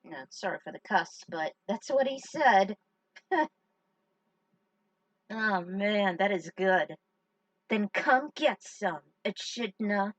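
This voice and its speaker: A woman's voice: mid-range voice, animated, slow, pauses often, no room sound, distant mic, rough recording. A woman reading a story aloud, breaking off for chatty, joking asides. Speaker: fan fiction reader. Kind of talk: story narration with casual asides.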